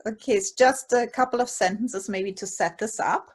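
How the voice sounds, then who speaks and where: A woman's voice: mid-range voice, normal speed, husky. A woman speaks. She presents in a conversational style as a coaching co-host.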